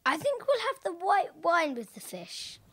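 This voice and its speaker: A woman's voice: very high voice, very animated, measured pace, a bit bright, dry recording, exaggerated voice. A woman acting a gracious, commanding ninety-year-old lady in an amateur radio play, formal and genteel. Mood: gracious, expectant.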